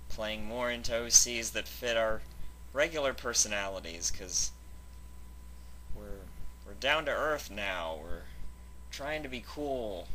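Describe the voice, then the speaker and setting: Bright, forceful man bantering as channel host. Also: on the low side, slight background noise, rough recording. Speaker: fanfic reading channel host. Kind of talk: casual banter introducing a reading.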